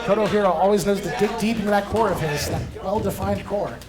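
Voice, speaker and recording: A man calling a wrestling match play by play, excitable and quick with quips. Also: high voice, over music. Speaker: wrestling commentator. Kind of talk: play-by-play commentary. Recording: loud crowd behind.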